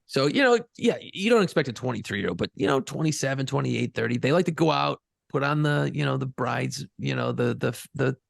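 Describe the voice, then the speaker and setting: A man's voice: mid-range voice, lively intonation, a little fast, vocal fry, hardly any pauses, dry recording, clean studio sound. A middle-aged man co-hosting a podcast, telling rambling, profane stories in a laid-back way. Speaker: podcast co-host and pirate tour guide. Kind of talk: casual podcast chat.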